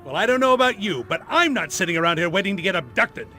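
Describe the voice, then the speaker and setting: A man's voice: high-pitched, sing-song, fast, bright, forceful tone, faint music behind, exaggerated voice. A man playing a flippant, jumpy junior agent in a video game cutscene. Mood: nervous.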